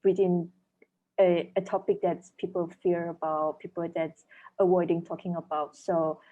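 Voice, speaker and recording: Malaysian woman, lowish voice, thoughtful guest. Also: level tone, moderate pace, phone-quality sound. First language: Chinese. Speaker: author and dentist guest. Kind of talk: interview answers.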